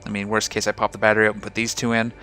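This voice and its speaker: Bright-voiced man, a little hoarse. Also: on the low side, rapid-fire, faint music behind. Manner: relaxed, rambling, explains ship fittings. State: slightly rough voice after a night of singing. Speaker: gaming channel host. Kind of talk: casual gameplay commentary.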